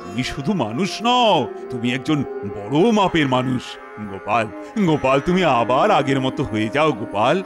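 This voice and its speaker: A man voicing an excitable, imperious Indian king in an animated comedy, with theatrical, exclamatory delivery. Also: high voice, normal speed. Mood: soothing. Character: flustered, excitable Indian king holding court. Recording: loud background music.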